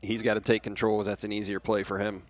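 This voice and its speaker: A man's voice: fairly low pitch, flat delivery, fast. An American man giving conversational color commentary on a baseball game, with plainspoken, coach-like explanations.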